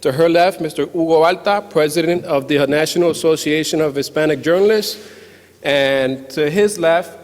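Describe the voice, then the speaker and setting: A man moderates a panel at a conference, introducing it in halting phrases. His voice carries through a hall with long reverb. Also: a little high. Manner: halting, pausing between phrases. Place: indoor event hall with microphone.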